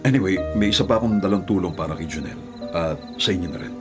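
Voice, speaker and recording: An actor playing a polite, apologetic wealthy benefactor in a Filipino radio drama, measured and reassuring. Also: male voice, deep voice, music in the background, lightly acted. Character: courteous, apologetic wealthy gentleman, generous benefactor.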